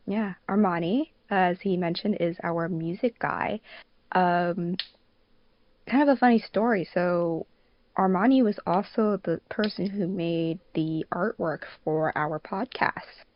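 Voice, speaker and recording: A woman's voice: lowish voice, measured pace, no echo. A woman hosting a podcast in a casual, chatty way, often using "like" as a filler. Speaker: podcast host and fandub producer. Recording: dull, lo-fi voice recording.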